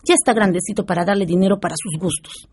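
An actress with a Peruvian accent playing a prudent, firm wife guarding the household money in a radio drama. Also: female voice, mid-range voice, lively intonation, fast, darkish tone, slight background noise, lightly acted. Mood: firm, worried. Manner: practical, firm.

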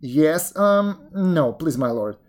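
A sly storybook jackal, acted out by a narrator, pitched high and swooping up and down. The creature sounds plaintive and scatterbrained, exclaiming as if hopelessly confused. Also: expressive, slow, darkish tone. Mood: flustered pleading. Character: sly jackal feigning muddled, whimpering confusion.

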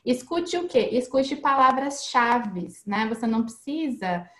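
A woman's voice: fairly high pitch, expressive, measured pace, reverberant. A woman guest giving casual, chatty advice on learning English, explaining with everyday examples and frequent tag questions.

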